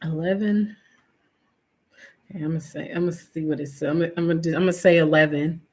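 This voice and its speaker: A woman's voice: sits low, steady tone, fast talker, darkish tone. Stuffy-nosed chatty woman streamer. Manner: chatty, reads viewer names, trailing fillers. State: nasal from allergies, sniffling. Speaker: livestream host. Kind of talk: casual chat with viewers.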